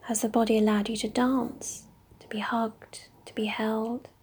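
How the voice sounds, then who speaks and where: A woman leading a guided meditation in a slow, soft, mostly whispered voice. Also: on the high side, flat delivery, a little hiss or hum.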